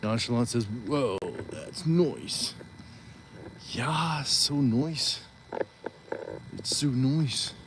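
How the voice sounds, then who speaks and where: Man streaming live from a phone on a walk, unhurried chatty delivery, faint street ambience. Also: mid-range voice, noisy background. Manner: relaxed, chatty, reads out viewer comments with playful asides. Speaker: livestream host. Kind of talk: casual live-stream chat while walking. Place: outdoors on a street, walking.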